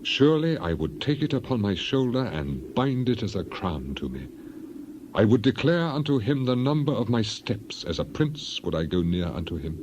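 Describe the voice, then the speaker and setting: Man doing a wronged, proud bible-drama sufferer, lowish voice, solemn declaiming, noisy ambience behind. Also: big pitch swings, normal speed, lo-fi sound. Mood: solemn, defiant. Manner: declamatory, solemn, archaic diction. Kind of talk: dramatized scripture acting.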